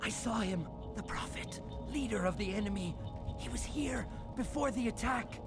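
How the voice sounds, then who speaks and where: A man acting an unhinged, high-voiced doom prophet. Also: moderate pace, natural voice. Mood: ominous. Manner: theatrical, portentous. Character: unhinged doom-prophesying male research assistant, feverish and despairing. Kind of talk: dramatic video game dialogue. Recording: loud game music under dialogue. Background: music.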